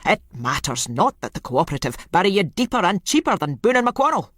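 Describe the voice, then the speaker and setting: A woman voicing a pompous male lay preacher in a story, rasping out drawn-out biblical oratory. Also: fairly low pitch, very animated, a bit bright, no room sound, studio quality. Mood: thundering, fervent.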